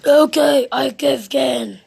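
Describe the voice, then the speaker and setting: A loud, scornful storybook bully acted out by a woman, high in pitch and blurting everything like an insult. The delivery is slow and rude, made for a children's read-aloud. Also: gravelly, full character voice. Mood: exasperated.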